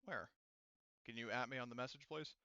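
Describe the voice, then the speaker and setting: A man runs an online tabletop game as its game master, working things out aloud step by step. His voice is bright and resonant, picked up dry on a close mic with slightly muffled chat audio. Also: medium pitch, brisk, clear, resonant tone, no echo.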